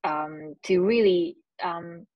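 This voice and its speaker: A woman whose first language is Japanese, speaking English on a video call through earbuds. Her voice sits a little low and the sound is dry and close. She speaks haltingly, restarting her sentences as she searches for words. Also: dry recording, lo-fi sound. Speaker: panelist. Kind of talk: panel discussion over video call. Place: small room indoors.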